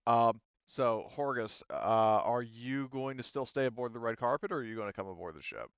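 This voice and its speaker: A man's voice: medium pitch, brisk, clear, resonant tone, no room sound, lo-fi sound. A man narrating as game master, rambling with many fillers and restarts. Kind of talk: narrating a tabletop game, casual.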